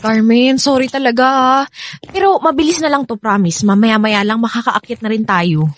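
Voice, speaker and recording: A woman's voice: fairly high pitch, normal speed, close to an everyday voice. An actress playing a friendly, apologetic and chatty young woman in a Filipino radio drama. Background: music.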